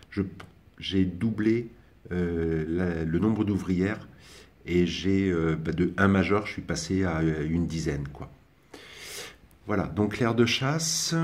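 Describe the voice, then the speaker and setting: A young man speaks in a deep, low voice, talking casually about his hobby while he films. He hesitates often, trailing off and restarting his sentences with filler sounds. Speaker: ant-keeping hobbyist vlogger. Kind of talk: casual spoken commentary over filming.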